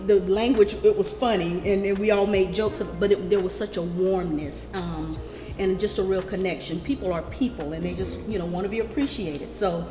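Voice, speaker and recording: A woman in her fifties talks through her life story, warm and chatty with frequent pauses. Her voice rings in a roomy space with a long reverb tail. Soft music plays faintly underneath. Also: medium pitch. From South Carolina, US. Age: 50s. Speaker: interviewee. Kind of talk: casual conversation. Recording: echoey room with long reverb.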